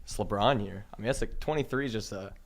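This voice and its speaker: A man's voice: fairly low pitch, quick pace, vocal fry. A twenty-three-year-old man chatting as a podcast guest in a small studio, easygoing and laid-back. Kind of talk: casual chat. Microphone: studio mic on boom arm.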